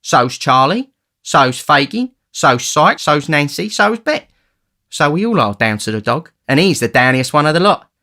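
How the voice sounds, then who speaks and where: A man voicing a cocky, boastful boy pickpocket who talks in slang, raised high and loud above his narrating voice. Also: very animated, measured pace, full character voice.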